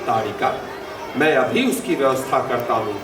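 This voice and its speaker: A man's voice: mid-range voice, animated, normal speed, lightly acted. An actor playing a noble, troubled king and doting father in a mythological drama, formal, reverent and solemn in his declamation. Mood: humble, reverent. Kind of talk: theatrical Hindi mythological audio drama. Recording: roomy distant playback with music behind.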